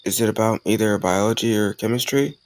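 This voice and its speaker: A man playing an easygoing male college student who chats casually and teases gently in an intimate romantic audio roleplay. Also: lowish voice, fairly quick, no echo, lightly acted. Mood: surprised, curious. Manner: casual, conversational, gently teasing.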